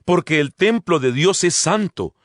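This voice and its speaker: An actor playing the earnest, exhorting apostle Paul in a dramatized Bible reading, solemn and measured, with rhetorical delivery. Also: male voice, fairly high pitch, expressive, a bit bright, no room sound, studio quality. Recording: music bed behind the voice.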